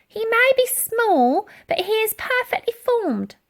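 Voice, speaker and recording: A woman voicing a flustered, dotty female fibber in a children's storybook, exclaiming in a shrill, fluttery manner. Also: big pitch swings, a little slow, fairly bright tone, full character voice. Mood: indignant, flustered.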